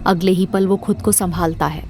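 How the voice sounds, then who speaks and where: A woman's voice: on the low side, steady tone, normal speed, a little mellow, slight background noise. A woman narrating a scripted audio drama as its storyteller.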